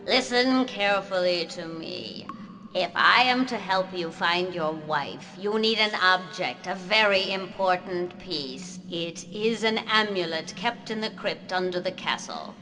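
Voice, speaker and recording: An actress playing a bitter, weary, imperious banished woman in a video game cutscene, speaking theatrically over loud music. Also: lowish voice. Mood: stern, commanding. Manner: theatrical, slow and commanding.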